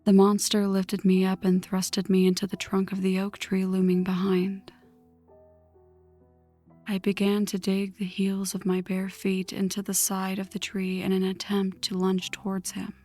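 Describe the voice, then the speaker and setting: Slow, hushed vampire woman, acted by a woman. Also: mid-range voice, monotone, lightly acted. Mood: tense, vengeful. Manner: hushed, breathy, slow dramatic delivery. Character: newly turned vampire woman, vengeful, seething. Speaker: audio roleplay narrator. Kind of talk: ASMR scripted first-person story narration. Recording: music under the voice. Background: background music.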